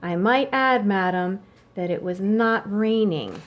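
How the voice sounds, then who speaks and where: A woman voices a chauffeur from a children's storybook in a mid-pitched voice. He is stiff and deferential, reporting to his madam with dry, fussy exasperation. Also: slow pace, close to an everyday voice.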